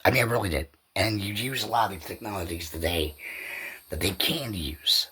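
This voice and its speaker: A man's voice: lowish voice, moderate pace, pushed and bright, breathy edge. A man hosting a podcast, talking in a casual, rambling solo commentary that loosely circles back over points. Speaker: podcast host.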